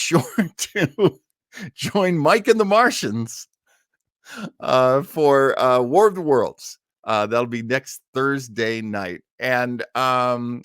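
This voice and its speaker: An American man hosting a casual live stream, talking in a rambling, halting way with chuckling asides. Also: mid-range voice, fairly bright tone, no echo, studio quality. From Maine, United States. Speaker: host of an online old-time radio listening show.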